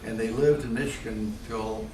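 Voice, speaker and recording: An older man with an American accent, recalling family history in a casual, halting and tentative way. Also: lowish voice, fairly even intonation. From Ohio, United States. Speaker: family elder answering questions. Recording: distant mic, echoey room, noisy.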